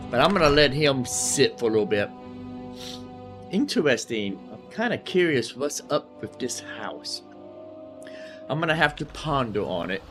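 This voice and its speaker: A man giving casual let's-play commentary, thinking aloud and repeating himself as he goes. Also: medium pitch. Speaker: gamer, host of a roleplay series.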